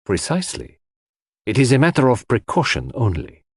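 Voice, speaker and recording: A man's voice: medium pitch, wildly swooping pitch, moderate pace, husky, no room sound, clean studio sound, pitched above the performer's own voice. A man voicing a fussy, shrewd older detective, precise and theatrical in an audiobook. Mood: brisk, precise. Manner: precise, theatrical, formal phrasing. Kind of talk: audiobook character acting.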